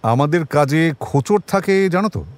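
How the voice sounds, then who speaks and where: A male actor playing a shrewd, world-weary senior detective in a crime audio drama, with a measured, wry, colloquial delivery. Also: fairly high pitch, animated, no echo, lightly acted.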